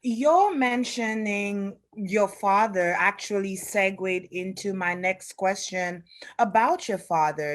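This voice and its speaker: A middle-aged woman hosting a community radio interview, asking curious questions with a mother's asides. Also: mid-range voice. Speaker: radio host.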